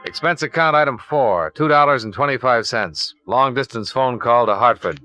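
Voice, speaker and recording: A man's voice: mid-range voice, moderate pace, bright, forceful tone, soft background music, natural voice. An American man playing a cool, businesslike insurance investigator in a 1950s radio drama, clipped and matter-of-fact, on an old recording. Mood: matter-of-fact narration. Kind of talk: 1950s radio drama acting, first-person narration.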